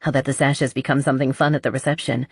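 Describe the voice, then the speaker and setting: A woman's voice: extremely low pitch, level tone, fast talker, slightly rough, no room sound, studio quality, heavily acted. Woman reading as a friendly, curious young artist. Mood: upbeat.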